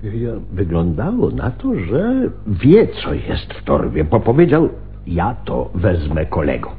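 Flustered shopkeeper, wildly varying pitch. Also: male voice, huge pitch swings, soft background music, exaggerated voice. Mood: agitated. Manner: hesitant, flustered, halting. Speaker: radio drama actor. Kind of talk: radio play acting. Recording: narrow, phone-like radio recording with hiss.

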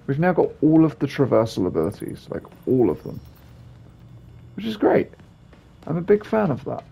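A man's voice: fairly high pitch, very animated, moderate pace, creaky, mellow, breathy edge, low-quality recording. A man giving casual commentary over a video game as its host.

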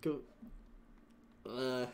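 Slow-talking, giggly young streamer guy. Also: mid-range voice, fairly even intonation, gravelly, background noise. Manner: chatty, giggly, talks to chat. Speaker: VTuber streamer. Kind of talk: casual gameplay livestream chat.

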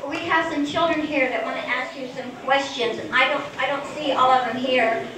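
A woman's voice: sits high, fairly even intonation, normal speed, over a noisy crowd. An older woman at a podium mic in a church hall, reading a letter aloud and hosting a party program in a chatty, searching way. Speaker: program emcee. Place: church hall in front of a curtain. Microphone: stand mic at a podium. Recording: echoey hall, far off, noisy.

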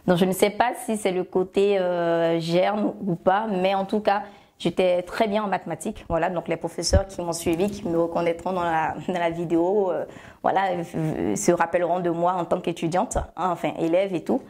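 A woman in her thirties from Côte d'Ivoire with an Ivorian French accent, chatting as an interview guest, full of fillers and self-corrections, on a lapel mic in a bright studio room. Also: fairly low pitch, steady tone, normal speed. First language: Agni. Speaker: guest, love shop founder. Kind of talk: relaxed interview answers.

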